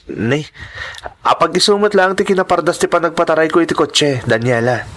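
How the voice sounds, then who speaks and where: A man's voice: fairly high pitch, animated, a little fast, a bit bright. A man playing a humble young driver in an audio drama, hesitant and respectful toward employers. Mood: apologetic. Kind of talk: Ilocano audio drama acting.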